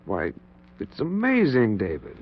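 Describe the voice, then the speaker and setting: A husky, fairly low male voice plays a doubting, morally troubled surgeon of middle age. The delivery is hesitant and measured 1940s radio acting, with wide melodic swings. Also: wildly swooping pitch, exaggerated voice. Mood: skeptical. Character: cautious middle-aged surgeon, skeptical, morally troubled friend. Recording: dull 1940s radio recording.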